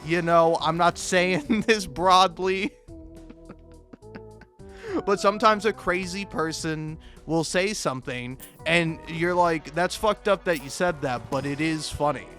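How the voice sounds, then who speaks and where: A man with a slightly high voice talks over faint background music. He rambles through a comedic monologue, tripping over and repeating words as he interrupts himself. His delivery is sweary and self-deprecating. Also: slow, a bit bright. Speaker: video maker.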